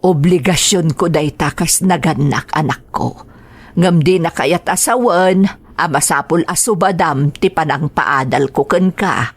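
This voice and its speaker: A woman acting as a hardworking, loving mother in an Ilocano radio drama, gentle and maternal, with an Ilocano accent. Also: deep voice, measured pace, slightly rough. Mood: loving, dutiful.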